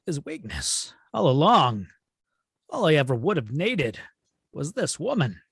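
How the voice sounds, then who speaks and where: A man playing an imperious, cold alien supervillain in a script read, husky and pompous, with drawn-out, menacing delivery. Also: on the high side, huge pitch swings, fairly bright tone, no room sound, clean studio sound, heavily acted. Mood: gloating menace. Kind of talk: amateur table read of a film script.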